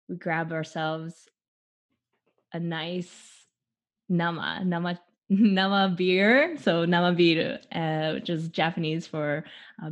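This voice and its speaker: Unhurried woman, thoughtful and low-toned. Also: muffled recording. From Canada. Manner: slow, thoughtful, with frequent laughs and pauses. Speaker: theater artist and guest. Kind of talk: conversational interview, opening with a guided imagined scene.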